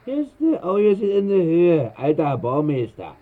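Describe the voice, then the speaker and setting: Jovial boozer from a bar, drawling slowly, slangy and teasing, dull 1960s radio recording. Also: male voice, a little high, very animated. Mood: tipsy, teasing. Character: boozy, jovial working-class male Berlin pub regular. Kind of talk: 1960s radio drama acting.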